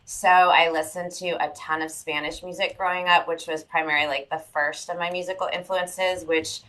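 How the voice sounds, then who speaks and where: Bright-voiced woman, lots of ums. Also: on the low side, flat delivery, moderate pace, rough recording. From California. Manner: hesitant, many fillers. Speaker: guest, former pop singer. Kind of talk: casual interview chat.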